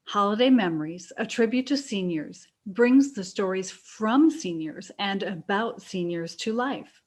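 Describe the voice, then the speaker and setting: A woman's voice: mid-range voice, expressive, unhurried, darkish tone. A woman reading scripted promotional narration as an announcer.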